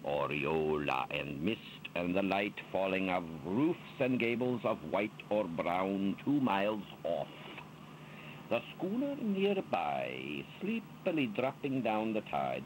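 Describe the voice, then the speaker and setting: A man giving an expressive, dramatic poetry recitation in a theatrical manner, drawing out his words. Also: on the low side, slight background noise, low-quality recording. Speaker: reader of poetry.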